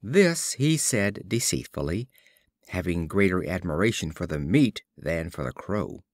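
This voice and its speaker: A man narrating short fables as a scripted storybook reading, in a deliberate, expressive storytelling manner. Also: low-pitched, no echo, studio quality. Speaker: audiobook narrator.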